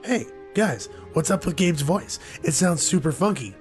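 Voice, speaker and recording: A man co-hosting a podcast in casual chat, his voice hoarse, joking and rambling. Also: on the high side, animated, moderate pace, soft background music. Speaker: podcast co-host.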